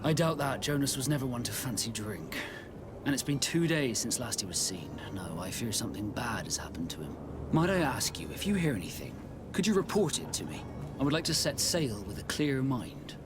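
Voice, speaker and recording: An actor playing a brisk, stern but courteous sea captain in a video game, speaking with formal authority. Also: male voice, medium pitch, sing-song, background noise, close to an everyday voice. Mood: concerned. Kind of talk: video game cutscene acting.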